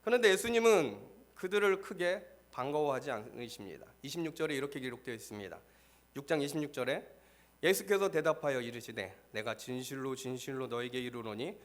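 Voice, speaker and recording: Hoarse man preaching a sermon. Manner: earnest, rises in pitch and force when stressing a point. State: hoarse from a throat cold. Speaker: pastor. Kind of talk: sermon with scripture reading. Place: church.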